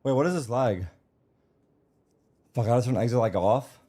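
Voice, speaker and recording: A man's voice: medium pitch, normal speed, warm tone. A young man streaming, chatting casually with friends while setting up a game, swearing often. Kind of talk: casual chat while gaming.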